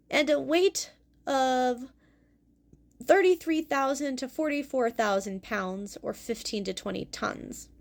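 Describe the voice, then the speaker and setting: A young adult woman hosting a fossil lesson as a paleontology teacher, explaining clearly and going carefully over hard names. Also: sits high. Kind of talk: educational fossil presentation.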